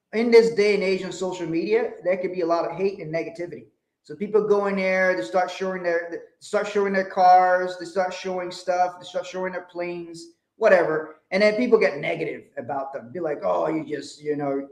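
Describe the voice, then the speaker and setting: Man, fairly high voice, emphatic and blunt, echoey room. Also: fairly quick, darkish tone. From Liberia. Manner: emphatic, repeats phrases, blunt with swearing. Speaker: financial adviser host. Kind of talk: casual livestream talk.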